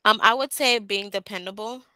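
A young woman answering job interview questions over a video call on a headset, pausing often with "um". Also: medium pitch, pushed and bright, smooth, dry recording. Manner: hesitant, many "um" fillers. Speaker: job candidate. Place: small indoor room.

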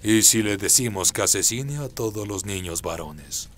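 Casting: man as plotting demon adviser, radio play. Very deep register, slightly noisy ambience. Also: lively intonation, moderate pace, fairly bright tone. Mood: scheming.

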